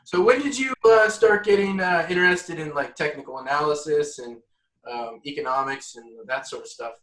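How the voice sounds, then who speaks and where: A man's voice: a little high, moderate pace, fairly bright tone. A man hosting a podcast, asking questions in a casual, conversational interview style. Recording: roomy, echoey voice.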